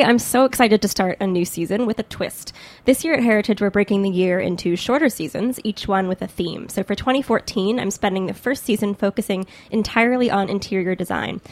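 Female American podcast host, mid-range voice, chatty and enthusiastic interviewer. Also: mid-range voice.

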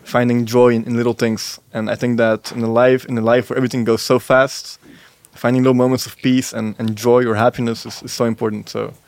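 A young man hosts a casual podcast interview, friendly and eager, tripping over his first words. His voice sits at a middle pitch and sounds close and dry, with no room echo. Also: flat delivery, brisk, a little mellow. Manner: friendly, eager, repeats words as he starts sentences. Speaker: podcast host. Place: pop-up store.

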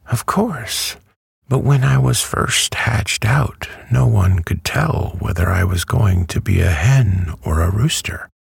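A man reading a storybook who voices a prim, easily offended female yellow hen. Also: lowish voice, animated, slow, gravelly, husky, dry recording, studio quality, voice pushed up, full character voice. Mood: indignant.

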